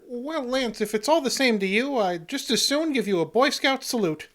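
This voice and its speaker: An actor playing a nervous, meek, folksy sidekick who stammers politely in a comic old-time radio play. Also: male voice, extremely high pitch, animated, normal speed. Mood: flustered. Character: nervous, bashful, honest-seeming male sidekick, meek and folksy.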